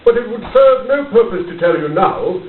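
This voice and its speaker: An actor playing a grave, commanding English detective in a radio drama, speaking formally and deliberately. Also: male voice, sits high, lively intonation. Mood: brooding. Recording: tinny, roomy, distant, hissy amateur recording.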